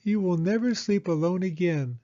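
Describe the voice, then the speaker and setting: Guy doing a sweet little girl mouse for a storybook, earnest and kid-like, pitched way up. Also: normal speed, dark tone, no echo, lo-fi sound, natural voice. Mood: tender. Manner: earnest, childlike. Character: small eager young girl mouse, wistful, sweet. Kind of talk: storybook character voice.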